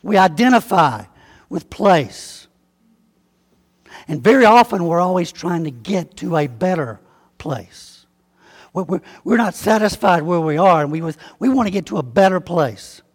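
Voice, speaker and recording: A man from Alabama with a Southern accent, preaching a sermon in a folksy, conversational way and slowing down to stress key words. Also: high-pitched, lively intonation, husky. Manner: folksy, conversational preaching with slow emphatic spelling-out. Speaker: pastor. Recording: long hall reverb.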